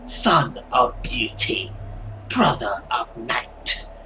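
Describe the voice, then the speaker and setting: Man putting on a slow, taunting, menacing monster. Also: mid-range voice, lively intonation, sharp tone, slight background noise, full character voice, low-quality recording. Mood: mocking. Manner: slow, drawn-out, theatrical delivery. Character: taunting, menacing many-mouthed monster in a dream. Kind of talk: visual novel character voice acting.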